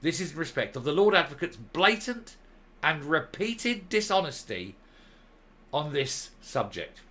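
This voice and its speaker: A male commentary host reading a document aloud and commenting on it, stressing key words with deliberate, emphatic phrasing. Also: fairly high pitch, sing-song, sharp tone.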